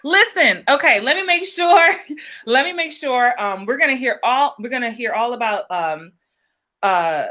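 Bright-voiced bubbly woman host. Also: fairly high pitch. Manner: bubbly, animated, quick to laugh. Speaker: acting coach and host. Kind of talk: live-streamed interview.

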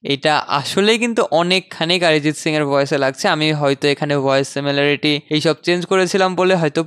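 A man hosting a casual software tutorial, conversational and dropping in English terms. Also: on the high side, fast talker, clean tone, no room sound. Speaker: tutorial host. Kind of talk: casual software tutorial screen-recording narration.

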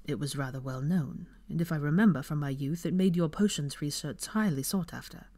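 A woman's voice: low-pitched, brisk, dark tone. A woman voicing an earnest, anxious young male wizard in an audiobook story, in a plain-spoken manner. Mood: thoughtful.

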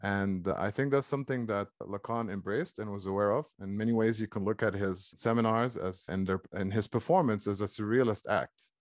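A man's voice: lowish voice, fairly even intonation, brisk, few pauses, no room sound, clean studio sound. A man in his forties from Egypt, speaking thoughtfully in conversation over a video call. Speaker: author in conversation. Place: small book-filled room at home, video call.

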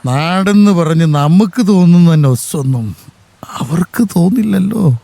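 A man playing a proud older farmer in a radio drama, with a Kerala Malayalam accent and a steady, fatherly way of talking. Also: sits high, measured pace, vocal fry, no echo, heavily acted. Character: proud aging farmer devoted to his land. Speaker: old farmer, husband and father.

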